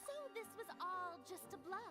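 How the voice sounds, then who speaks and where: Very high, haughty young lady. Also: fairly even intonation, normal speed, over music. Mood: mocking. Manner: drawling, mocking, theatrical. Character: haughty teasing young lady, theatrical and smug. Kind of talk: animated video game dialogue.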